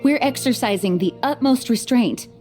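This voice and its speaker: An actress playing a dutiful, modest female knight commander in a video game, polite, measured and earnest. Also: a little high, lively intonation, darkish tone, natural voice. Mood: flustered, apologetic. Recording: faint game music behind the voice.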